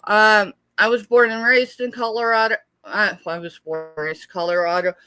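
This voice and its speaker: A woman's voice: medium pitch, fairly even intonation, moderate pace, pushed and bright. A middle-aged American woman hosting a casual live sale, chatty and rambling as she talks familiarly with her viewers. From United States, born in Texas. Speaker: live sale host and small seller.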